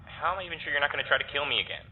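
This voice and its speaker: An actor playing a wounded, exasperated man in an audio drama, halting, earnest and defensive, through a radio-like filter. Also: fairly high pitch, lively intonation, narrow, tinny audio. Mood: hurt, exasperated. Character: wounded, exasperated person confronting would-be killer. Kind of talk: naturalistic audio drama acting. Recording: thin radio-like sound with noise.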